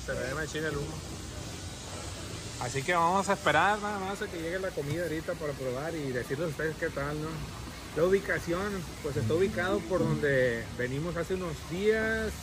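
A man's voice: a little high, brisk. A man, casually reviewing food at an outdoor restaurant, talking in an easygoing, slangy way into a phone held at arm's length. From Mexico. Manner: easygoing, slangy, thinks aloud. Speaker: food vlogger. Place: outdoor rustic restaurant under a thatched roof. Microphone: phone camera at arm's length. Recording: loud outdoor noise from nearby traffic.

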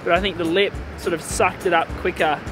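A man's voice: sits high, fairly even intonation, brisk, over music. A young man talking casually as a surf vlogger, laid-back and rueful. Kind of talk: casual vlog chat.

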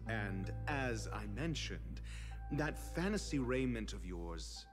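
An actor playing a haughty, dismissive young scholar in a video game, condescending and drily sarcastic. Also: male voice, on the low side, sing-song, slow pace, over music.

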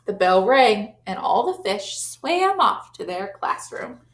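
Animated woman reading a picture book aloud. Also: takes it slowly, creaky. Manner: animated storybook reading, exaggerated gasps and big pitch leaps. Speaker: teacher. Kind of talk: children's read-aloud. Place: small classroom, indoors. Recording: echoey classroom.

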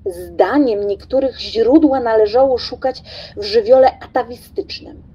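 A woman narrating a literary radio play in a dramatic, deliberate, theatrical way. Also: a little high, lo-fi sound.

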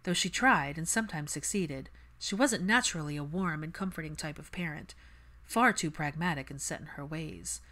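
A woman's voice: low-pitched, moderate pace, pushed and bright. A woman giving a scripted audiobook narration of fan fiction.

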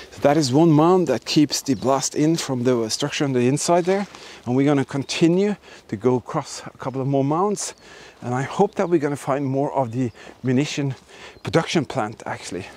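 A man's voice: mid-range voice, animated, moderate pace, hardly any pauses, darkish tone, a little hiss or hum. Enthusiastic man exploring forest ruins. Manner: enthusiastic, emphatic, repeats words. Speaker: host of history exploring channel. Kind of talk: walkabout narration to camera. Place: outdoors in a forest and ruined buildings.

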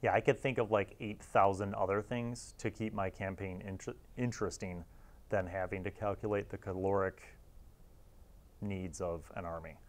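A man in his forties with a slightly low voice hosts a casual review. He talks in an informal, wry, conversational way, leaning on words for emphasis. He is recorded on a lapel mic in a small studio. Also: steady tone, moderate pace. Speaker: host reviewing old gaming magazines. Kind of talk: casual, informal commentary to camera.

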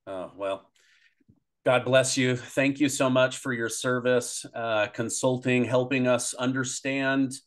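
A man's voice: medium pitch, fairly even intonation, takes it slowly. Thirties male interview host. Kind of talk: casual interview wrap-up. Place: home office, indoors. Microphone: video call.

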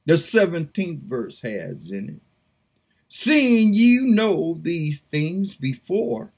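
A woman prays aloud and reads scripture with fervent, pleading feeling. Her voice is husky and breathy, and she drags out her words very slowly. Her pitch swoops between low murmurs and high cries. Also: sing-song, darkish tone. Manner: fervent, pleading, slow and drawn out. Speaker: preacher. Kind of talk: impassioned prayer and scripture reading.

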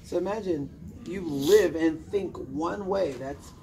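High-voiced, emphatic male coach. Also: slow pace, mellow. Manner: emphatic, directive, with short commands and rhetorical questions. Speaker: personal development coach. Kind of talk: informal motivational coaching talk to a small group. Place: indoor lounge, seated among a small group. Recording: noisy room with ambient hum.

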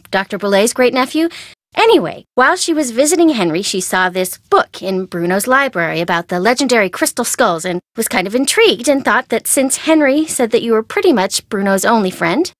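An American actress playing a perky, curious, chatty young woman amateur sleuth in a video game, with an expressive, girlish manner. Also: on the high side, no echo, studio quality, natural voice. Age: young adult. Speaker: voice actor. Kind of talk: video game character dialogue.

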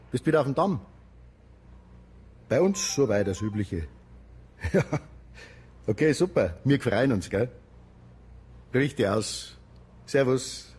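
An actor playing a chummy, wry police station officer with a Bavarian accent in a naturalistic radio drama. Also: male voice, medium pitch, darkish tone, a little hiss or hum.

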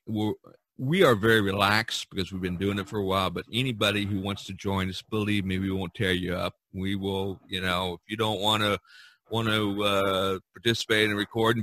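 A man hosting a casual podcast over a video call, talking in a rambling, animated way. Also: fairly low pitch, no echo. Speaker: podcast host. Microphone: video call microphone.

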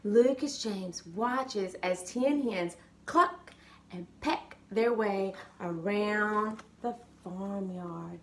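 A middle-aged woman, a grandmother, reading a picture book aloud to her grandchild in a living room, slow and gentle, livening up the character lines. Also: medium pitch, a little hiss or hum. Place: living room couch, indoors. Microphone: phone or webcam in front of the couch.

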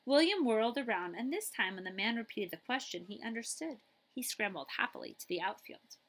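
A middle-aged woman reading a children's picture book aloud, with dramatic emphasis and pauses. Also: on the high side, lively intonation, fairly bright tone.

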